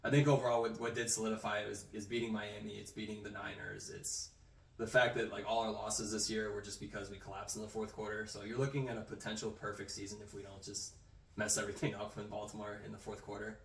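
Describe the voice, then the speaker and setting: A man's voice: on the low side, little pitch movement, gravelly, roomy sound, recorded from a distance, slight background noise, low-quality recording. A young man in a casual sports chat, rambling with many fillers. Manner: quick, rambling, lots of "like" and "you know". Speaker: co-host.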